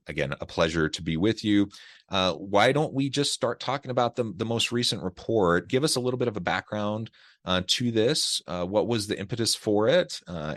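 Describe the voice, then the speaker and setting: A man's voice: low voice, a bit bright, no echo, clean studio sound. A man hosting a podcast interview, who often repeats words as he starts sentences. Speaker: podcast host. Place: south of Salt Lake City.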